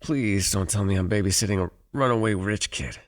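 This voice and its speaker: A man playing a gruff, teasing, easily exasperated guide for hire in an ASMR audio roleplay, speaking straight to the listener in a dry, folksy way. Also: fairly quick, lightly acted. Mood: incredulous, exasperated. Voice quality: low, gravelly, husky. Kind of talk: ASMR audio roleplay, one-sided dialogue addressed to the listener.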